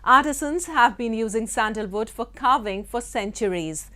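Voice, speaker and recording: Higher-pitched woman news presenter. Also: expressive, moderate pace, sharp tone. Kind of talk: scripted presenting.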